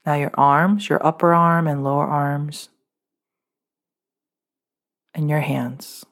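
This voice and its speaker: A woman leading a guided muscle relaxation meditation, giving calm, unscripted instructions with small fillers. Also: deep voice, slow pace.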